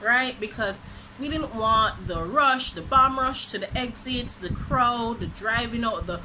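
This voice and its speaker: A young woman from Jamaica with a Jamaican accent, telling a dramatic storytime for her vlog in an animated, exclamatory way. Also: fairly high pitch, a little slow. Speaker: vlogger. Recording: noisy background ambience.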